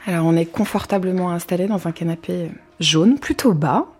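A woman interviewing a guest at home, asking questions in a soft, measured way with small fillers. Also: lowish voice, breathy edge. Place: apartment living room, seated on a sofa.

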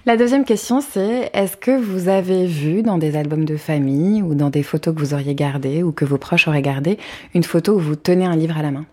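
A woman interviewing a guest at home, asking questions in a soft, measured way with small fillers. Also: sits low. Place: apartment living room, seated on a sofa.

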